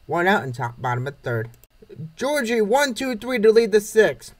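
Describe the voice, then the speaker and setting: High-pitched, lively man calling baseball plays. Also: moderate pace. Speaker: narrator of a comic dub series. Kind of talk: scripted play-by-play narration of a comic baseball game.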